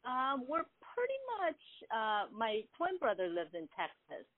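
A woman in her fifties, born in South Korea and a native Korean speaker, speaks as a podcast guest in a soft, hesitant way with many ums. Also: a little high, sing-song, measured pace, no echo. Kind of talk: casual interview over the phone. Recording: tinny phone line.

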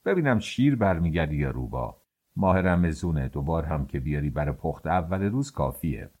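A man's voice: very deep voice, big pitch swings, warm tone, husky, voice pushed down, exaggerated voice. A man voicing a middle-aged male master baker who gives orders to his worker in a commanding, teasing way. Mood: bantering.

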